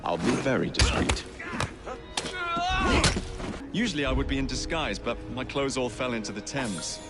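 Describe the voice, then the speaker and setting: A man's voice: mid-range voice, lively intonation, moderate pace, close to an everyday voice. A man playing a cocky young Victorian London rogue in a video game, with an English accent and a dry, teasing manner. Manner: wry, teasing. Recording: loud music over the voice.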